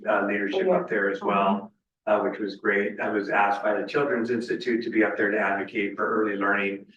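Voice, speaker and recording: A man speaking at a school board meeting, halting with many fillers, offering thanks. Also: lowish voice, fairly bright tone, a little hiss or hum. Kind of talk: meeting remarks. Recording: muffled, echoey room, distant mic.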